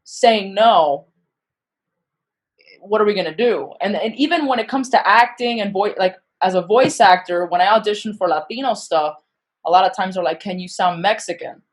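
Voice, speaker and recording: Woman podcast guest, lively and self-deprecating, everyday pitch. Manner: animated, self-deprecating, quick asides. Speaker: podcast guest, actor and comedian. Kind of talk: casual interview chat.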